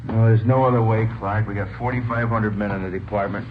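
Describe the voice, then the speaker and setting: Stern low-voiced police boss, acted by a man. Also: steady tone, fairly quick, lightly acted. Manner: firm, formal, clipped. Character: stern, by-the-book senior police officer. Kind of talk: 1950s radio drama acting. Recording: tinny, hissy old radio recording.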